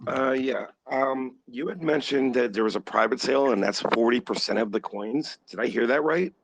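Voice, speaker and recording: A man's voice: mid-range voice, normal speed, gravelly, muffled recording, a little hiss or hum. A man asking hesitant, tentative questions during a Q&A on a video call. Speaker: audience member asking questions.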